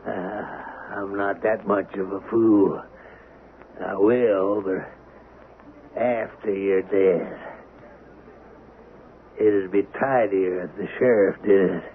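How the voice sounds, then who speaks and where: An actor playing a greedy, blustering, easily panicked rich villain of the Old West in a 1970s radio drama. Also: male voice, fairly low pitch, steady tone, slow, long pauses. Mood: cold, menacing. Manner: blustering, faltering when rattled. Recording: tinny, noisy vintage radio broadcast.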